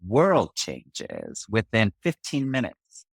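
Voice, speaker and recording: A man's voice: fairly low pitch, huge pitch swings, slow pace, gravelly, no echo, studio quality. A man from Singapore, a community organizer, telling his story in an interview in a thoughtful way, often checking in with "right?". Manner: thoughtful, with frequent "right?" asides. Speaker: racial justice organizer.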